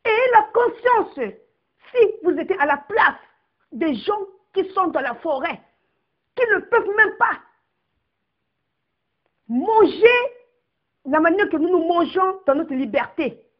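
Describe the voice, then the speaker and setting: High, lively-pitched woman giving a slow, impassioned address. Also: dull audio. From Republic of the Congo. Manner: emphatic, declamatory, stressing each word of long lists. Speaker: political activist addressing compatriots.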